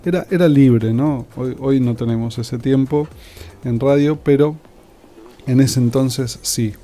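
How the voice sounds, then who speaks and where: A man's voice: medium pitch, a little slow, dark tone. A man with an Argentine accent reminiscing in an interview about his radio work. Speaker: radio scriptwriter.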